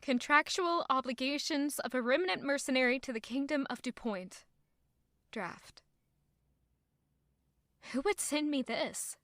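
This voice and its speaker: An actress playing a young maid who is defensive and in love, thinking aloud with strong emotion in an audio drama. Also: female voice, high voice, measured pace, long pauses, a bit bright, dry recording, clean studio sound. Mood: pensive.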